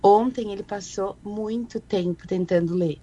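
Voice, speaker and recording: A woman talks in an easy, reflective manner. Her voice sits at an ordinary middle pitch. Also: unhurried, dark tone, breathy edge, highs cut off. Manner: reflective, thoughtful. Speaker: guest educator. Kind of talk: conversational explanation.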